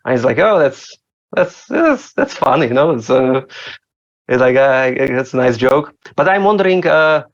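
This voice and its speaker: Open-mic comic from Slovakia, a man with a gravelly voice, halting delivery, muffled lo-fi sound. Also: medium pitch, sing-song. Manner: hesitant, many fillers and restarts. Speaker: stand-up comic.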